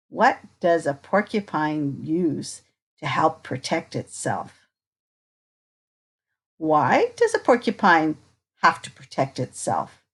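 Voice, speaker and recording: Slow, lilting woman reading to children. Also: medium pitch. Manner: expressive, unhurried, asks inviting questions. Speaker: teacher reading a picture book aloud to children. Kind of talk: children's read-aloud with questions to listeners.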